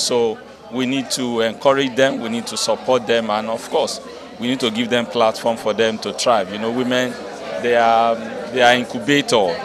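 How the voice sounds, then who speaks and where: A jovial man with a Nigerian accent in an unscripted event interview, repeating himself for emphasis. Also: medium pitch. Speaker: audience interviewee. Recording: busy crowd behind voice.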